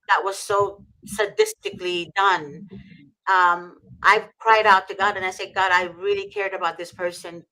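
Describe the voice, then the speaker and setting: Host from Egypt, middle-aged woman, fervent and preachy, bright forceful tone. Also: lowish voice, low-quality recording. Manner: fervent, preachy, repeats for emphasis. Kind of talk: impassioned faith talk.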